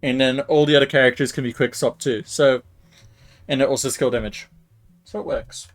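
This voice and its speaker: A man's voice: medium pitch, fairly even intonation, moderate pace. Rambling male game streamer. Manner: rambling, thinking out loud. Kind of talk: casual live stream commentary.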